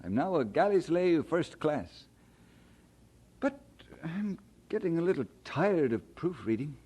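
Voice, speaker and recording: A humble young man in an audio drama. His voice is husky and fairly high, with lively pitch. He speaks earnestly and politely, with some modest hesitation. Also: measured pace, heavily acted. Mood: chatty. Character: young Scottish immigrant man, humble, earnest, would-be minister.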